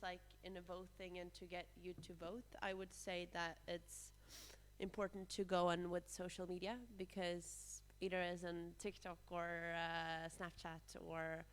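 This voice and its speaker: A young woman with a Norwegian accent speaks on a youth panel. Her voice is fairly low and flat in melody, and she talks casually with many fillers and restarts. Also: unhurried. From Norway. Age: young adult. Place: conference room.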